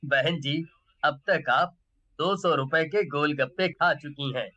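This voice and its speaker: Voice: medium pitch, takes it slowly, bright, forceful tone, pitched below the performer's own voice. A performer voicing a polite but firm male street-food seller in a cartoon story. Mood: wary.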